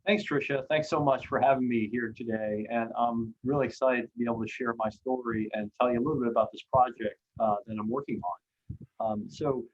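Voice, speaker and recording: A man's voice: mid-range voice, fairly even intonation, brisk, muffled recording. A man in his fifties who grew up in Hong Kong, telling his career story as a guest speaker on a video call, full of "uh" fillers and candid about himself. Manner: frequent "uh" fillers, candid and self-deprecating.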